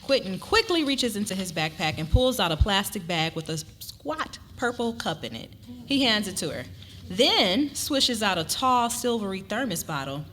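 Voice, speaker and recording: A woman's voice: a little high, a bit bright. A woman narrating a scripted story at a live dramatic reading. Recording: noisy live event recording.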